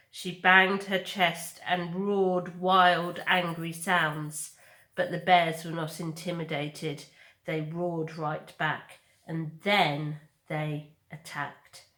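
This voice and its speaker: A woman reading children's fiction aloud as a deliberate, expressive storyteller. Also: fairly low pitch. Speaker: storybook reader. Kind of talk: dramatic read-aloud narration of children's fiction. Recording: echoey room.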